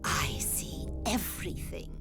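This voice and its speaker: Actress as slow, cryptic old prophetess. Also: female voice, natural voice. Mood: knowing, cryptic. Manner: solemn, portentous, measured. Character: cryptic old woman prophet of occult doom. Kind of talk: video game cutscene acting. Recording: game audio under loud music.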